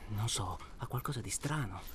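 Clear background noise and ambience surround an actor as a stubborn, jittery male hunter. He is hesitant and querulous, doubting and repeating himself in a naturalistic radio drama manner. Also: fairly low pitch, expressive, moderate pace, lightly acted. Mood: groggy, doubtful.

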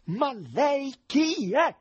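A man voicing a gruff, suspicious housing chairman in an audiobook, questioning sternly and indignantly in halting phrases. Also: lowish voice, very animated, warm tone, no echo, lo-fi sound, heavily acted. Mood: angry. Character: suspicious, boorish, easily angered male housing cooperative chairman.

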